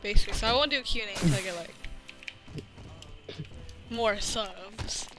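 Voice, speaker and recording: A man's voice: high-pitched, moderate pace. Thirteen-year-old boy gamer over music. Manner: youthful, informal. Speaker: gaming video host. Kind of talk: casual gameplay commentary and chat. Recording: music playing behind the voice. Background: loud music.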